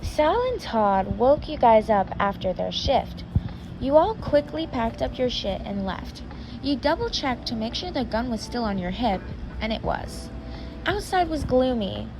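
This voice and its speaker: A woman's voice: a little high, background noise. A woman reading a fan fiction story aloud, sometimes stumbling and correcting herself. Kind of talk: scripted story narration.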